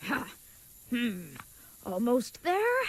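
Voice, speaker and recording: A woman's voice: on the high side, huge pitch swings, very slow, gravelly, exaggerated voice. A woman playing an earnest, naive, chivalrous young knight in an audio comedy, speaking with stilted, wordy politeness. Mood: earnest, musing. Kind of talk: scripted audio comedy acting.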